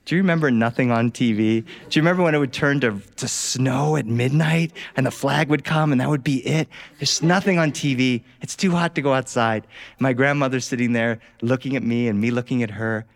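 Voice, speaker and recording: A man telling a first-person story in an animated way, as a storyteller performing live. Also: mid-range voice, brisk, gravelly. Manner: animated, acts out family dialogue.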